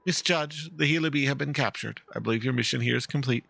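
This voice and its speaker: A man's voice: medium pitch, fast talker, vocal fry, a bit bright, dry recording, soft background music. A man voicing a gracious, formal and wistful woman in a dramatic reading of game dialogue. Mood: polite, composed. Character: gracious, wistful adult woman, a dignified mother.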